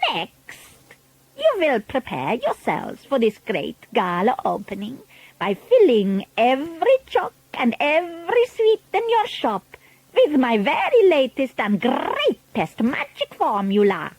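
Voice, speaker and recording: A woman voicing an imperious, menacing child-hating witch leader in a storybook, with a foreign accent and grand, drawn-out emphatic commands. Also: sits high, wildly swooping pitch, a little mellow, slightly rough, no echo, pitched above the performer's own voice, exaggerated voice, poor audio. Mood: grand scheming. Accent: foreign, non-native English.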